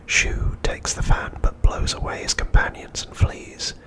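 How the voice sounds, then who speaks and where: A middle-aged man whispers his way through an ASMR narration. His voice is all breath, with no voiced tone. He reads gently and takes his time, leaving deliberate pauses between phrases. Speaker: ASMR host.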